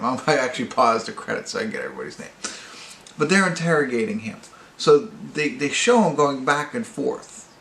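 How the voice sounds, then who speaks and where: Male movie-review host, casual and rambling, mid-pitched with vocal fry, roomy sound with faint background noise. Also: fairly bright tone. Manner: halting, rambling, self-correcting. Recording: echoey room with faint noise.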